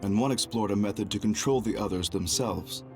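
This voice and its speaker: Guy playing a low voiced young double agent, calm n formal, very serious, anime game cutscene style. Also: soft background music, close to an everyday voice. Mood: grave, composed. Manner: measured, formal, grave. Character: composed, calculating young male double agent, quietly remorseful.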